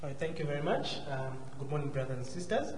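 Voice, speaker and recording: A man with a southern African accent giving a personal testimony as a guest speaker, courteous, measured and hesitant. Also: fairly high pitch, animated, crowd noise. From Zambia and Malawi. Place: large church hall, live before a congregation. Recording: echoey hall, faint noise.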